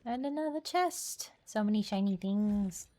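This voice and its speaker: A woman's voice: medium pitch, animated, unhurried, mellow. A woman streamer giving casual, playful live commentary on a game, with a giggly, teasing manner.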